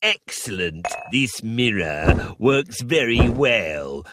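A man's voice: mid-range voice, very animated, slow pace, very noisy. An actor playing a gloating wicked sprite schoolmaster who relishes his evil in a children's storybook. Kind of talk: theatrical children's storybook app acting. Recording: loud music behind the voice. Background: music.

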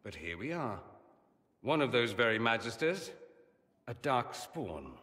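A flamboyant young mage nobleman in a video game, full of arch, ironic wit and a bright, animated delivery that swoops up and down. He teases and performs every line, with a long reverb trailing behind his voice. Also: medium pitch, unhurried. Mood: brooding. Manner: lively, teasing, theatrical. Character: witty, vain, charming male mage nobleman, young adult. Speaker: mage companion.

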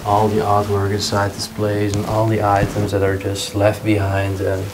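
Young man exploring, flat voice. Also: lowish voice, normal speed, noisy background. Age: young adult. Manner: curious, pointing things out as he goes. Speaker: urban explorer. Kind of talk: casual walkthrough narration. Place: abandoned house, indoors.

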